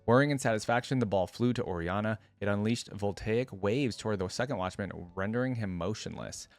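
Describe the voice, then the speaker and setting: A man with a low voice and a close, dry sound reads a story aloud. He narrates expressively, like a storyteller, and drops in casual reactions as a video creator would. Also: fairly quick, dry recording, soft background music.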